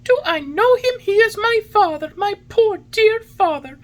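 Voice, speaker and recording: A woman's voice: extremely high pitch, animated, moderate pace, pushed and bright, voice pushed up, full character voice. A woman voicing a childlike, emotional little wooden puppet boy in a storybook, with a plaintive, exclamatory delivery. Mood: pleading, anxious.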